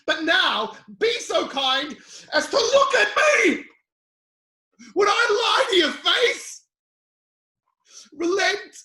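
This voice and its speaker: Casting: actor for anguished, impassioned biblical sufferer, slow and halting delivery, dramatic reading in an echoing room. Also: sing-song, full character voice. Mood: indignant pleading. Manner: impassioned, halting, swinging from low murmurs to anguished shouts. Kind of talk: epic dramatic Bible reading. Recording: roomy, echoing space.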